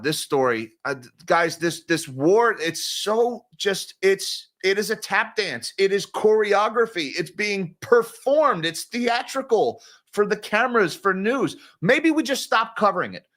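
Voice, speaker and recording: A man hosting a live-stream news commentary, talking in an animated, exasperated, ranting way. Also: fairly high pitch. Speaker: host.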